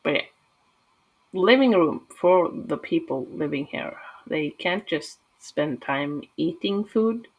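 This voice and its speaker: A woman's voice: low-pitched, animated, slow pace, husky, poor audio. A woman from Norway with a Norwegian accent, talking casually and hesitantly through a game build with many fillers. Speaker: gaming channel host.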